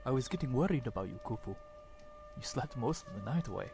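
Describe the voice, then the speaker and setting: A playful young desert companion voiced by a man speaks with very animated pitch and a teasing manner. It is audio drama dialogue, with soft music underneath. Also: brisk. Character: teasing young desert friend of a prince, loyal.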